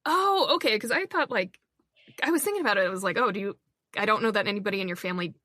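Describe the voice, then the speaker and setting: A woman's voice: mid-range voice, animated, bright, forceful tone, no room sound. Woman host, eager interviewer, dry close recording. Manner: enthusiastic, quick reactions. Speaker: podcast host. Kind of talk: casual podcast interview.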